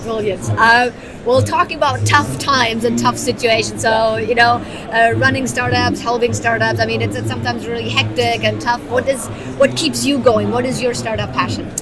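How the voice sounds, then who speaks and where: A woman with a fairly high voice conducts a conversational interview. She is encouraging and quick to affirm. A busy crowd and some vehicle noise are clearly audible behind her. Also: steady tone.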